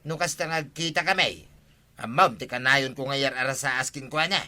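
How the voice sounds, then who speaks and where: Aggrieved villager, sharp forceful voice. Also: male voice, medium pitch, brisk. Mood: pleading, insistent. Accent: Ilocano. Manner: complaining, insistent. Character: aggrieved male villager, complaining and insistent. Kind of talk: radio comedy drama acting.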